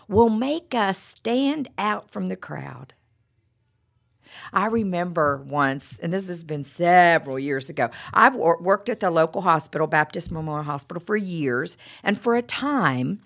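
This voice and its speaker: A woman's voice: on the low side, creaky, a bit bright. A middle-aged woman giving a devotional talk with personal stories, her voice froggy from a cold, chatty and full of stammered repeats and "you know".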